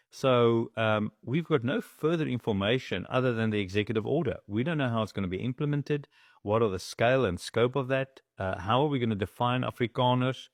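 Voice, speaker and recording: A man's voice: fairly low pitch, no echo, studio quality. A South African man speaking as a chamber of commerce spokesman in a news interview, hesitant, with many fillers and restarts.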